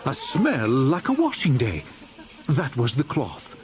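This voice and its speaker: A man narrating a dramatized classic story as an audiobook, in a theatrical, expressive storytelling manner. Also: mid-range voice, unhurried, slight background noise.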